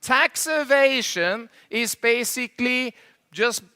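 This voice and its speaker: A man's voice: very high-pitched, takes it slowly, bright, forceful tone, clear, resonant tone. A middle-aged man from Norway with a Norwegian accent, giving a joking stage presentation at a conference and quizzing the audience in slightly imperfect English. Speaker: conference speaker.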